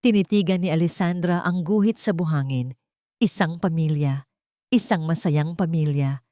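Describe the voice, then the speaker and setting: Low-pitched woman narrating a love story. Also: normal speed, dark tone, no echo, clean studio sound.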